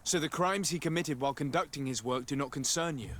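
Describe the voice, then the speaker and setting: An actor playing a composed, formal noble envoy who asks polite, pointed questions in a fantasy game. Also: male voice, on the high side, quick pace, a bit bright, a little hiss or hum, natural voice. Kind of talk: scripted fantasy game acting.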